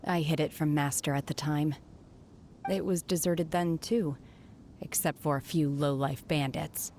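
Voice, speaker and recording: A detached young woman from a fantasy game. Her voice sits a little low and stays calm and quiet. She speaks in measured, reflective phrases. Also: slight background noise. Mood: wistful. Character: aloof, lonely young woman exorcist. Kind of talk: game voice acting.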